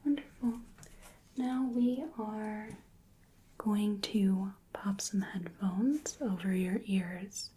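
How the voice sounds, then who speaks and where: A woman in her twenties speaking in a gentle, breathy whisper, carefully giving instructions in a soft-spoken ASMR medical roleplay. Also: medium pitch, very slow, a little hiss or hum, poor audio. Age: 20s. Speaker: ASMR performer playing a hearing examiner. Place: small indoor room. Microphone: close microphone, just off camera.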